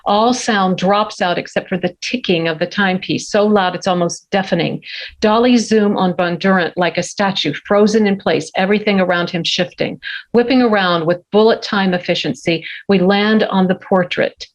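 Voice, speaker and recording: A woman's voice: medium pitch, normal speed, low-quality recording. A woman reading scene headings and action lines as narrator at a script table read. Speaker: stage direction narrator.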